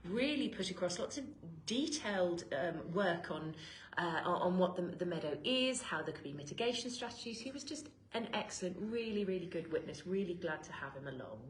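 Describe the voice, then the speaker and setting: A woman's voice: fairly low pitch, expressive, brisk. A woman, co-hosting a casual live-stream recap and praising people with enthusiasm. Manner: enthusiastic, gushing, laughs often. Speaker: campaign co-host. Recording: echoey room, faint hiss.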